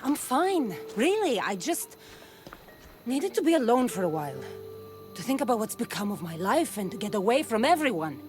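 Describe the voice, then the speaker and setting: A woman's voice: a little high, very animated, normal speed, a little mellow. An actress playing a weary, sardonic mercenary woman in a video game cutscene, speaking casually. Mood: guarded, resigned. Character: wry, weary Greek mercenary woman in her prime. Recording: music behind the voice. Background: music.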